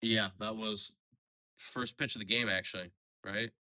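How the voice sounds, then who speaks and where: Young man, hoarse, rambling sports talk. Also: fairly low pitch, steady tone, a little slow, pushed and bright. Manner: rambling, many fillers and restarts. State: slightly hoarse from a sore throat. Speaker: sports podcast host. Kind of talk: casual solo sports recap.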